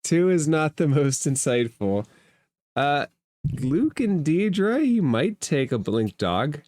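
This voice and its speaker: A man's voice: fairly high pitch, very animated, takes it slowly, a little mellow, no room sound. A man running a tabletop role-playing game as the game master.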